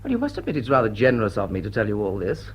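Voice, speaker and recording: A debonair rake in a radio drama. His voice moves widely in pitch while staying droll and flippant, with light noise behind. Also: huge pitch swings, racing. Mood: wryly confessing. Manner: droll, understated. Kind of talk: stage drawing-room radio drama.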